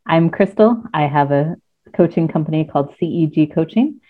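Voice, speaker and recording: A woman from the United States with a mixed American and British accent, speaking thoughtfully as a guest coach, often pausing with ums. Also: sits low, lively intonation. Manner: thoughtful, hesitant with frequent ums. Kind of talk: casual interview chat. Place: at home near Cardiff. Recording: tinny phone-like remote call.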